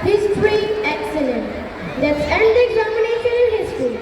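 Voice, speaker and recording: A woman's voice: very high voice, big pitch swings, brisk, a crowd in the background. A woman in an amateur stage play acting a decisive, officious school principal with emphatic, clipped delivery. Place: large hall stage. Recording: echoey noisy hall, distant mic.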